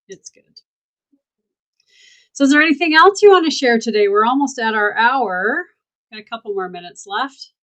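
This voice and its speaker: A woman's voice: mid-range voice, poor audio. A woman hosting a casual online group call, talking in an affirming, spiritual way over a video call mic. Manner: warm, affirming, spiritual wording. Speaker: community call host.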